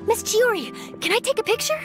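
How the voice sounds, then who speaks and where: An actress playing a cheerful, excitable young woman in a video game, earnest and full of exclamation. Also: very high voice, brisk. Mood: eager, cheerful. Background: faint music.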